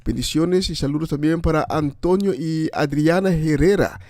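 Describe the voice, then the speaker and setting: Guy with doing radio preaching and shoutouts, kinda creaky voice, halting but emphatic, dry close sound. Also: a little high, no room sound. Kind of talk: informal on-air preaching and greetings.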